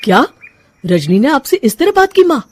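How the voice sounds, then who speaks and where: Woman as an earnest, animated young husband. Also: high voice, moderate pace, pitched above the performer's own voice. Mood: shocked. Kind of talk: cartoon story character voice.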